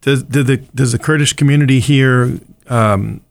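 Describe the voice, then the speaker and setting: Gravelly male interviewer. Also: mid-range voice, steady tone, normal speed, dry recording, studio quality. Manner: brief prompts, short backchannels. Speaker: podcast host. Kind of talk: interviewer asking questions.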